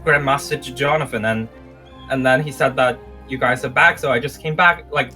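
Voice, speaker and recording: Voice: medium pitch, moderate pace, sharp tone, close to an everyday voice. A performer voicing a flustered, guilty young magic apprentice in an improvised game roleplay, stammering and hesitant, with music behind. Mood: flustered, defensive. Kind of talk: improvised Minecraft roleplay acting.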